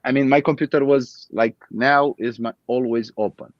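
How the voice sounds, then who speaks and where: A man in his thirties from Romania with a Romanian accent, speaking English as a second language in a casual interview, halting and searching for words. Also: medium pitch, fairly even intonation, no echo, highs cut off. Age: 30s. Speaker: guest.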